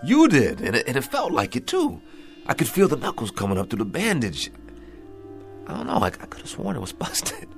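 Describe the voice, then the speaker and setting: An actor playing a bewildered, weary, earnest aging boxer in a radio drama, speaking haltingly and colloquially. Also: male voice, mid-range voice, wildly swooping pitch, creaky, faint music behind. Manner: halting, colloquial, repeating himself. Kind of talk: modern radio drama acting.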